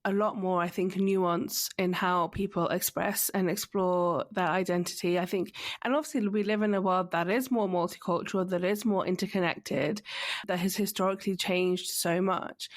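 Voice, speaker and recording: A young adult woman hosting a podcast, speaking thoughtfully and hedging with "I think" and "like". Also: medium pitch. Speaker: podcast host. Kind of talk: casual interview chat.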